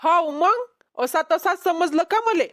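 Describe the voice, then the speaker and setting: A woman's voice: very high voice, expressive, measured pace, a bit bright, full character voice. A woman voicing a boastful, haggling young male street peddler in a cartoon comedy, with a Vidarbha Marathi accent. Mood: indignant, boastful. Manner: cocky, bargaining.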